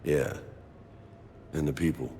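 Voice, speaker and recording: A deep, slow-talking laid-back soldier. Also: male voice, fairly even intonation, mellow, in a large hall, slight background noise. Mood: reflective. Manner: casual, unhurried. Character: laid-back young male soldier, teasing, homesick beach boy. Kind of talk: video game dialogue acting.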